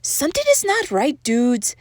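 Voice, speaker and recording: A woman voicing small, excitable, indignant chihuahuas in a storybook, exclaiming each line. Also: sits high, unhurried, no echo, clean studio sound, pitched above the performer's own voice, heavily acted.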